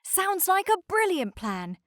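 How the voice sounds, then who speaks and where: Male icebreaker ship for a children's story, played by a woman: friendly, confident, hearty, higher-pitched. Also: sing-song, unhurried, fairly bright tone, dry recording, studio quality, exaggerated voice. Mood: pleased, hopeful.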